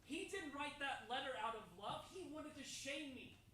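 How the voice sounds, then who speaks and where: A woman's voice: sits high, expressive, normal speed, bright, forceful tone. Woman acting a hurt, defiant son, echoey stage. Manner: emphatic, accusing. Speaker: actor in a staged reading. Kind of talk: staged play reading. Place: large echoey room, live staged reading. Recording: echoey hall, distant mic, faint noise.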